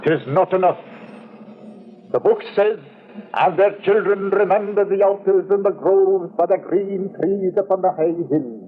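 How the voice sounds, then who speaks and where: An actor playing a pious, brisk and practical foreman in an old-time radio drama. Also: high-pitched. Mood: stern, pious. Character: pious, brisk, practical male foreman, stern believer in scripture. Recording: dull, faintly noisy vintage radio recording.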